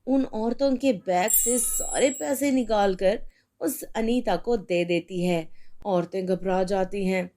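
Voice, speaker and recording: Casting: female narrator for children's stories, scripted read, medium pitch, slow pace. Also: dark tone. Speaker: storyteller.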